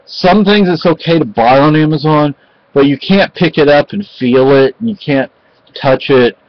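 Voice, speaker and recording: A middle-aged man from Spain chatting casually at home in a halting, rambling way with lots of fillers, recorded close on a webcam. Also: a little high. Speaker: vlogger. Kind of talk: casual home vlog chat. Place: cluttered home room with bookshelves. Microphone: webcam at close range. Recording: dull lo-fi webcam audio.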